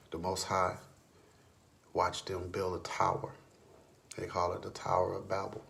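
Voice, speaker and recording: A man giving an earnest late-night religious monologue, often sinking into a hushed whisper, sleepless. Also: deep voice, creaky, slight background noise. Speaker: vlogger.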